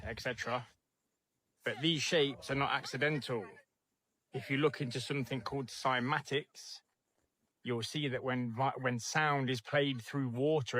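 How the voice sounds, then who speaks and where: A man giving explanatory commentary in a measured, matter-of-fact way. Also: mid-range voice, fairly bright tone, no echo, poor audio.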